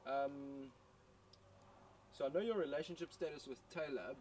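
A man's voice: mid-range voice, normal speed, a little mellow, distant mic, slight background noise. A man hosting an outdoor interview, teasing his guest and laughing easily. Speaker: podcast host. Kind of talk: casual interview. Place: outdoors at a campfire circle in the bush.

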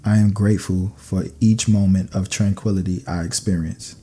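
Deep, mellow man reading calming affirmations. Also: breathy edge. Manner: calm, soothing, measured delivery. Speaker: narrator of guided affirmations. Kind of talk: scripted sleep meditation affirmations.

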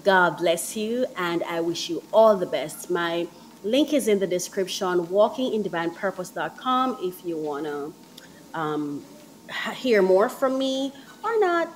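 A woman hosting a podcast, casually sharing encouraging tips while walking on a treadmill indoors, repeating her points gently. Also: fairly low pitch, animated, unhurried, slight background noise, poor audio. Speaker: podcast host.

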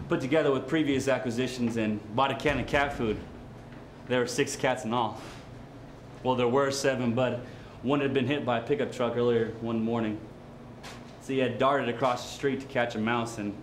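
A man giving a dramatic reading of a somber monologue in a halting, measured way. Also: mid-range voice. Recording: echoey room with faint noise.

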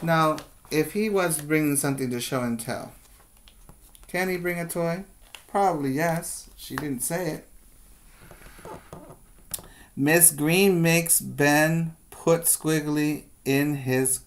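A middle-aged man, a preschool teacher, reading a storybook aloud and putting questions to his young pupils. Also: fairly high pitch. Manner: slow, deliberate reading with questioning asides. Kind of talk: children's story read-aloud with asides to pupils. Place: small plain room indoors.

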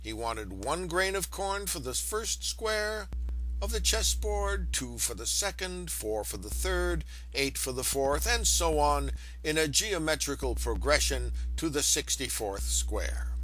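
A man reading a lecture aloud in a deliberate, emphatic way. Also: on the high side, big pitch swings, a bit bright, poor audio. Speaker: reader of an audiobook lecture. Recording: home recording with faint background hum.

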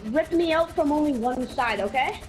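A high-voiced game player chatting casually in improvised roleplay, often repeating words and restarting sentences. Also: normal speed, a little hiss or hum, low-quality recording. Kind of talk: casual improvised roleplay chat during gameplay.

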